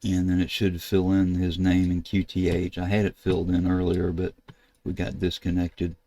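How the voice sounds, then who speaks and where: Low, gravelly older American man, casual. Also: completely flat delivery, warm tone, a little hiss or hum. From United States. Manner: halting, thinking aloud while he works. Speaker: ham radio video host. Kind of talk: casual screen-share tutorial narration. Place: indoors at a computer desk.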